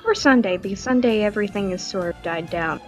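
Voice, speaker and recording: A woman's voice: mid-range voice, fast, darkish tone. A woman chatting casually as a stream host, telling stories in an informal, rambling way with some swearing. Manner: filler-heavy, informal storytelling, swears. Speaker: livestream host. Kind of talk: casual chat. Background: music.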